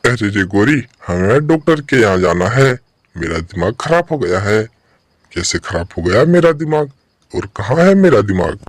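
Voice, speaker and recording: A man's voice: fairly low pitch, big pitch swings, moderate pace, no room sound, studio quality. A man voicing a dim-witted, puzzled male crow in a cartoon story, asking repetitive, pestering questions. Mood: bewildered. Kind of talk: Hindi animated bird story dialogue.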